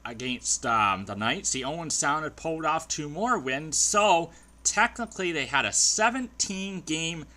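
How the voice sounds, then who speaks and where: Chatty male fan host, dry throat, rambling delivery, very bright tone with lively pitch. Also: unhurried. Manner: chatty, rambling with many ums and restarts. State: dry throat, clearing it often. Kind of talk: casual hockey recap vlog.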